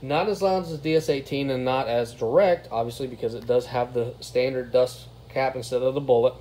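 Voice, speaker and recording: A man's voice: medium pitch, brisk, mellow. A man giving a casual hands-on product review in an informal, plain-spoken way. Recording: clear background noise, room ambience.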